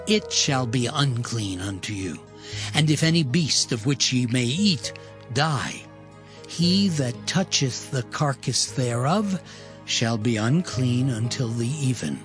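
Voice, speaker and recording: A man voicing God, slow and solemn. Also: mid-range voice, animated. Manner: slow, measured, solemn recitation. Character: the Lord giving laws, solemn and commanding. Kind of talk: dramatized scripture reading. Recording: music playing underneath. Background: music.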